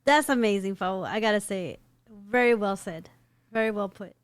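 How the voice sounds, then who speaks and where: A woman's voice: mid-range voice, moderate pace, clean tone, dry recording. A middle-aged mother co-hosting a family podcast at home, speaking articulately into a close studio mic. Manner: teasing, then earnest and articulate. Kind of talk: casual family podcast talk. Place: home room. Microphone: studio mic on boom.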